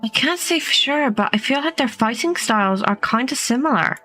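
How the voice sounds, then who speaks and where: A woman's voice: sits high, expressive, brisk, sharp tone, soft background music. A woman hosting a gaming playthrough, chatting casually and reading dialogue aloud with dry, playful teasing. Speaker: gaming video host.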